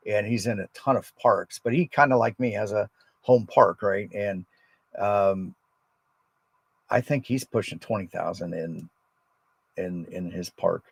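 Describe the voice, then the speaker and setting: American guy, kinda low gravelly voice, rambling casually on his ham radio livestream. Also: measured pace, pauses often, no echo. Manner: rambling, relaxed, unscripted. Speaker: amateur radio operator and livestream host. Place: outdoors at a state forest park.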